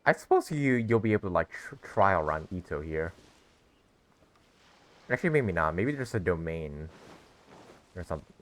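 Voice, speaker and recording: A man's voice: low voice, big pitch swings, normal speed, long pauses. A man giving casual gameplay commentary, reacting and talking along as he plays. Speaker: gamer streamer.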